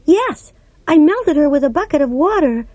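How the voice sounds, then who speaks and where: A woman doing a high, eager little girl. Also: animated, normal speed, darkish tone, no echo. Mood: insistent. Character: earnest young girl, bold.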